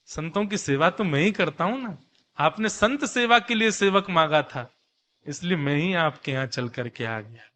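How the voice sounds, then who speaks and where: A man voicing a kindly boy servant who is secretly a god, gentle and emphatic, in a devotional story. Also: a little high, expressive, moderate pace, voice pushed down. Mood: kindly revealing. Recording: long hall reverb.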